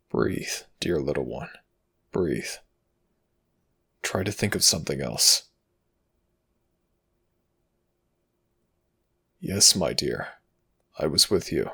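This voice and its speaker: Ancient egyptian god for asmr, super slow and raspy, protective, formal, murmured right to you. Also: male voice, extremely low pitch, full character voice. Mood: soothing, solemn. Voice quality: gravelly, breathy rasp. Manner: slow, hushed, formal archaic phrasing. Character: ancient Egyptian death god, tender protector, quietly vengeful. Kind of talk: ASMR audio roleplay, intimate murmured acting.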